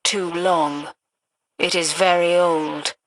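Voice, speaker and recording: A woman voicing a cryptic emissary of cosmic powers in a video game, speaking in a measured, formal, oracular way. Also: on the low side, steady tone, no room sound. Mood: ominous, detached. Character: cryptic otherworldly female emissary of cosmic powers, coolly imperious. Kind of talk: dramatic video game dialogue.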